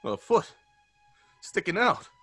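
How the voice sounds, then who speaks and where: A man playing a breezy, slangy, excitable pal in a 1930s-style old time radio drama. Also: slow, soft background music. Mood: alarmed horror. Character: excitable male pal growing alarmed, breezy 1930s fellow.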